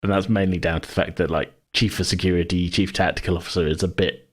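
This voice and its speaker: A man's voice: low-pitched, fairly even intonation, fast. A man who hosts a podcast and runs games, chatting casually and rambling as he thinks aloud. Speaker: podcast host and game master. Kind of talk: casual chat.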